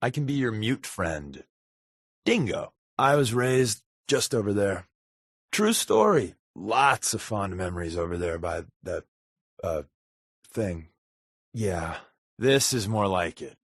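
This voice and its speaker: An American man playing a wisecracking, sly undercover agent in a video game, talking in casual quips with a deadpan, sardonic manner. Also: fairly low pitch, takes it slowly, husky, no room sound, studio quality, heavily acted. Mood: dry, playful. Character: wisecracking, sly adult male undercover agent, habitual fibber. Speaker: companion character in a video game.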